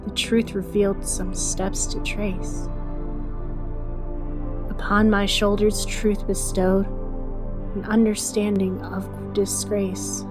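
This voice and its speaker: Woman as a slow, solemn, cryptic poetry reciter. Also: mid-range voice, little pitch movement, over music, voice pushed down, close to an everyday voice. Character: somber, cryptic poetry reciter recalling a bitter past.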